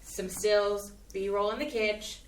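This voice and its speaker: A woman's voice: mid-range voice, steady tone, slow, close to an everyday voice. A woman reading the part of an offhand young photographer in a staged play reading. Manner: offhand, slangy. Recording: roomy, distant, faint hiss.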